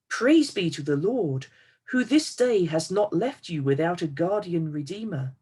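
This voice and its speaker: A woman's voice: on the low side, a little mellow, slightly rough. A woman reading a Bible passage aloud as a scripture reader.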